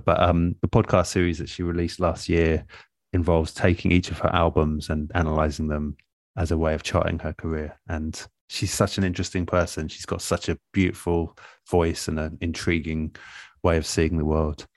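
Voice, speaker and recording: A man speaking as an interviewed radio producer in a relaxed, reflective way, thoughtful and measured, often pausing mid-phrase. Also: sits low, no room sound.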